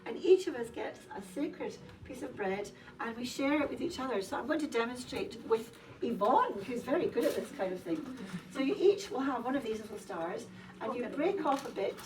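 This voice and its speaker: Woman, first language Polish, playful host, high-pitched, heard from a distance. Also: lively intonation, brisk, darkish tone. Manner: playful, laughing, teasing. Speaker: event host. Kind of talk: lively hosting speech to a crowd. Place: large indoor café hall. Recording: crowd chatter in a large hall. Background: crowd of dinner guests.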